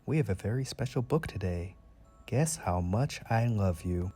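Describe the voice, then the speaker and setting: Low-voiced man reading to young children. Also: lively intonation, moderate pace. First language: English. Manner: gentle, clear enunciation for children. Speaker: storybook reader and channel host. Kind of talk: read-aloud narration for young children. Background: music.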